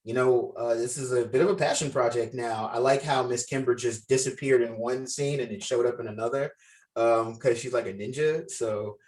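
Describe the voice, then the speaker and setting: A man's voice: medium pitch, moderate pace, a little mellow, low-quality recording. A man talking casually and appreciatively to a group on a video call, leading the meeting. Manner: encouraging, appreciative. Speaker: film project organizer leading a table read. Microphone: video call microphone.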